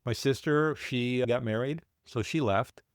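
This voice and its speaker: A man's voice: medium pitch, smooth, dry recording. Older man recalling family history, dry. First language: Finnish. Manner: unhurried, with halting restarts and self-corrections. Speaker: guest. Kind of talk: reflective storytelling interview.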